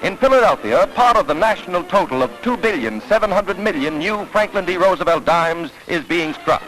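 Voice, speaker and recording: A man's voice: high-pitched, expressive, brisk, fairly bright tone, low-quality recording. An American man narrating a 1940s newsreel in a declamatory, dramatic announcer style, on a vintage soundtrack. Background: orchestral newsreel music.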